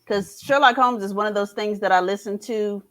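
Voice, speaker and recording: A woman's voice: mid-range voice, a little fast, fairly bright tone. A middle-aged woman talking casually as a panelist in a fan discussion.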